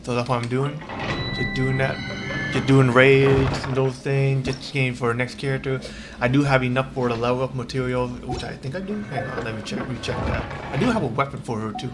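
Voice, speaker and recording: A man chatting casually on a livestream while he plays a game, rambling in a halting, repetitive way. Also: mid-range voice, heavy background noise. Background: game music.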